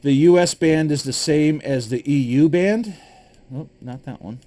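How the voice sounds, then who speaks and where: A man from Michigan casually reviewing preparedness gear, talking in a relaxed, opinionated way with frequent ums and restarts. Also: fairly high pitch, a little slow. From Michigan, USA.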